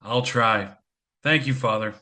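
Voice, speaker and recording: A man's voice: medium pitch, unhurried, sharp tone. A man playing a stressed, anxious divorcing father in an audio play, with a wry, self-deprecating and earnest manner. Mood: earnest, grateful. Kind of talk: community theatre audio play acting.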